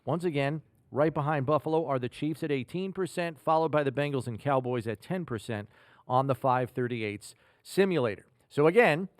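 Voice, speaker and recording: A man with an American accent hosting a football podcast, talking through statistics in a measured, analytical, conversational way. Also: a little high, dry recording, studio quality. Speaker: sports podcast host.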